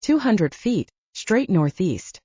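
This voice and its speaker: A woman's voice: medium pitch, sing-song, slow, dark tone, slightly rough, dry recording, muffled recording, exaggerated voice. A woman voicing a stoic, dryly curt male supernatural hunter in an audiobook.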